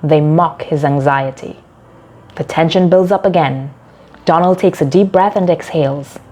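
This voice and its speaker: A woman narrating a short story from a script in a measured, dramatic storytelling delivery. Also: low voice, dark tone.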